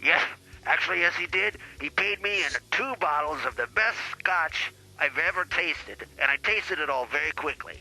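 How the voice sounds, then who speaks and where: Man as crude, pompous boozy male lawyer; sharp, forceful, somewhat high voice; comedy podcast with faint music. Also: animated, normal speed, heavily acted, poor audio. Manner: pompous, blustering, foul-mouthed. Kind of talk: improvised comedy sketch.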